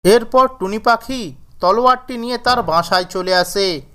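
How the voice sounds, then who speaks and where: A male narrator with a high-pitched voice reads a scripted children's story. He delivers it as storytelling narration. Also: measured pace, fairly bright tone.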